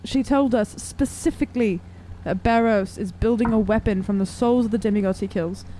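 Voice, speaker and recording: A woman's voice: mid-range voice, normal speed, warm tone, rough recording. A woman playing a tabletop role-playing game, speaking casually and conversationally. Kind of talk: casual chat during game play. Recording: faint background noise.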